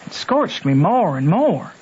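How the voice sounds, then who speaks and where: An earnest backwoods boy with a high voice and a Southern American drawl. He talks in folksy, rustic grammar as he narrates and acts in a radio play, wrestling with his conscience. The sound is a dull old radio recording. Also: very animated, a little slow, darkish tone, husky, exaggerated voice. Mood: troubled. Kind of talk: old-time radio drama, first-person narration and dialogue.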